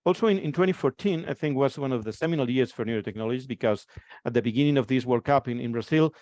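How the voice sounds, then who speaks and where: A man's voice: medium pitch, darkish tone, no room sound. Spanish-accented guy presenting, lots of repeats and restarts, dry close sound. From Spain. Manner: halting, many repeated words and restarts. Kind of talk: conference talk presentation.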